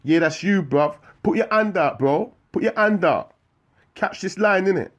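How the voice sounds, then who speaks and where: A man voicing a young male London inmate who shouts brashly through a prison cell window, with London street slang. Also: high voice, lively intonation, normal speed, highs cut off, voice pushed up. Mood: shouting, brash.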